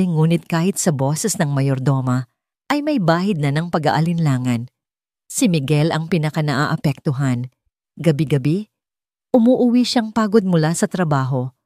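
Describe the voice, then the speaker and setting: A woman narrating a scripted dramatic story in a measured, earnest storytelling manner. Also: deep voice, darkish tone, dry recording, studio quality.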